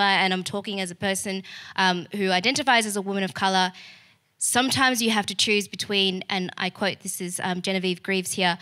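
Young woman, earnest and bright. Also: mid-range voice. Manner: earnest, hesitant with many fillers. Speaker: panel guest, theatre artist. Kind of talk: panel discussion answer. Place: stage in a large auditorium. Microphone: boom mic on stand.

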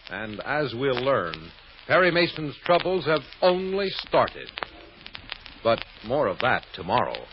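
A male narrator of an old-time radio serial, telling the story dramatically at a middling pitch. The recording is muffled, with some noise behind him. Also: very animated, slow.

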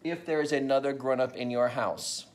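A man's voice: mid-range voice, fairly even intonation, moderate pace. A man in his thirties, a pastor, patiently teaching children step by step at a home dining table, repeating each instruction. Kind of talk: playful how-to demonstration for kids. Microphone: camera sitting on the table.

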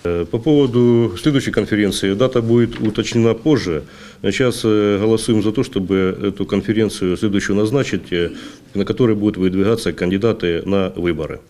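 A man's voice: lowish voice, a little fast, dark tone. A man in his forties formally reading out procedural items as chairman, with hesitations. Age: 40s. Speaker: meeting chairman. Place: meeting hall, seated at a table.

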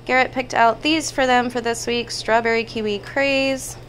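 A woman in her thirties speaks in a fairly high voice. She chats informally, listing items as a vlog host. There is some household noise behind her. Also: steady tone, moderate pace, fairly bright tone. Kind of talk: casual chatty grocery haul narration. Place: indoors in a home kitchen.

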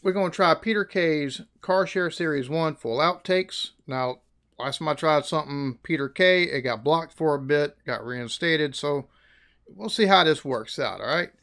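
American guy in his 30s chatting on a headset, slightly high voice, laughs easy. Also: moderate pace, a bit bright. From United States. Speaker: reaction video host. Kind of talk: casual chat. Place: small room in front of a green screen.

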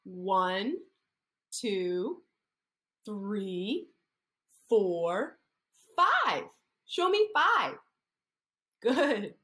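A woman's voice: mid-range voice, sing-song, extremely slow, pauses often, fairly bright tone. A woman in her thirties, an occupational therapist, reading a picture story aloud to young children and coaxing them to copy hand gestures, recorded indoors on a laptop webcam. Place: indoor room.

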